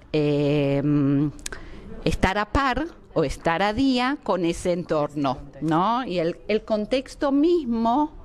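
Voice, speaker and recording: A woman from Argentina, slowly answering interview questions and often pausing with fillers as she searches for words. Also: lowish voice. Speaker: interviewee, education researcher. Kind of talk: slow, thoughtful interview answers.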